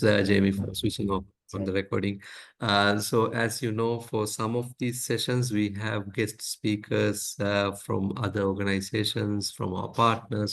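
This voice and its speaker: A man hosting a webinar, introducing the guest in a hesitant way with many fillers. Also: on the low side. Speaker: host introducing a guest speaker.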